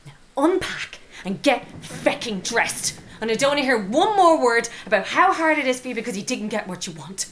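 A woman's voice: fairly high pitch, big pitch swings, normal speed, hardly any pauses, a bit bright, slight background noise. An actress playing a stern, exasperated middle-aged Irish mother in a radio drama, scolding and commanding. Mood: furious. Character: stern, exasperated mother of a rebellious teenage daughter.

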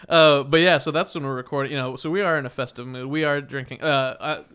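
A man's voice: mid-range voice, steady tone, quick pace, a bit bright, smooth. A young man hosting a casual podcast chat from home, rambling and laughing often at himself. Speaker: podcast host.

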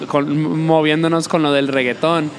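A woman's voice: low voice, flat delivery, unhurried. A woman hosting a podcast who chats casually, drops English phrases into her Spanish, and restarts her sentences often. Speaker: podcast host. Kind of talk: casual chat.

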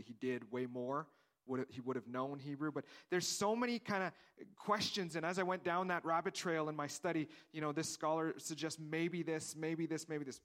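A man's voice: on the high side, fairly bright tone. Man preaching a conversational sermon. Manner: conversational preaching, acting out dialogue; repeats words, restarts phrases. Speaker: pastor.

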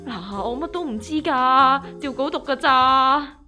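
Radio comedy: cheeky young Hong Kong woman, played by an actress, bright, expressive delivery, music underneath. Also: sits high, unhurried, heavily acted. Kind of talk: scripted comedic radio drama.

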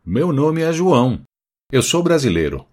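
Woman doing a Brazilian man, deep put-on voice, very lively pitch, polite simple questions, textbook dialogue. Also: unhurried, heavy background noise, full character voice. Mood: friendly, curious. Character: Brazilian man on a plane, friendly. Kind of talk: scripted language-lesson dialogue.

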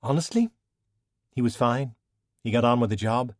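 A man's voice: mid-range voice, normal speed, no room sound, studio quality, voice pushed up, natural voice. Man doing a plain-spoken, wry young woman. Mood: frank, questioning.

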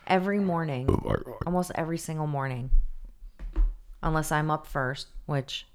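A woman with a notably low voice for a woman chats on a podcast. She is playful and sarcastic and often talks in fragments and half-finished thoughts. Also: moderate pace, smooth. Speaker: podcast co-host. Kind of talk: casual chat.

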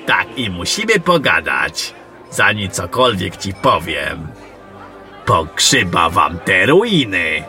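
Guy playing gruff old-campaigner general in fantasy audio drama, lowish voice, blunt, music behind him. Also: sing-song, slow, sharp tone, some chatter behind, full character voice. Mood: confronting. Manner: blunt, colloquial, questioning. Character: gruff battle-worn fantasy general, commanding, blunt, suspicious.

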